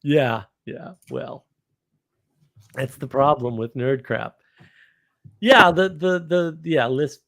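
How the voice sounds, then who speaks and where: A man's voice: fairly high pitch, takes it slowly, pauses often, fairly bright tone. A man talking casually and off the cuff as a live stream host, with a blunt, opinionated, rambling manner. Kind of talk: casual unscripted monologue.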